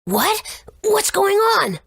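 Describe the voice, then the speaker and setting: A woman playing a tender, excitable child in a video game, with a raspy, creaky voice. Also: very high-pitched, extremely slow, no room sound, studio quality, exaggerated voice. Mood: confused, reluctant.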